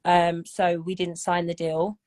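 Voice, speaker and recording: A woman's voice: on the low side, little pitch movement, measured pace, a little mellow. A woman in her thirties, a singer, chatting casually in an interview and often checking "do you know what I mean?". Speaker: singer and guest.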